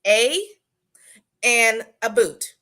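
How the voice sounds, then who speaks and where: Brief: Southern American woman co-hosting a live chat, higher-pitched, chatty and laughing. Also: drawn-out words. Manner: chatty, laughs often, animated storyteller.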